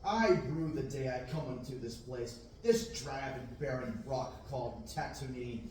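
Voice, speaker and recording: A man's voice: mid-range voice, a little slow, a little mellow, a little hiss or hum, lightly acted. A man reading an eager young farm boy in declamatory Shakespearean verse at an amateur table reading. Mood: yearning. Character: restless young farm boy dreaming of adventure. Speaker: table-read actor. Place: large classroom, read from a table. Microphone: far room camera. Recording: echoey room, distant mic.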